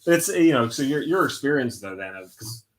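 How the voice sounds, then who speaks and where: A man's voice: mid-range voice, expressive, normal speed. An American man chatting casually as a stream guest, rambling and redirecting himself mid-thought. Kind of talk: casual chat.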